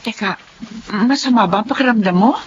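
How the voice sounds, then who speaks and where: A woman's voice: fairly high pitch, a little fast, a little hiss or hum, close to an everyday voice. An actress playing a young mother in a radio drama, speaking in an emotional, pleading, tearful way. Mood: anxious. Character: anxious young mother and wife. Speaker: mother narrating her own story. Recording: dull lo-fi radio recording with music. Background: music.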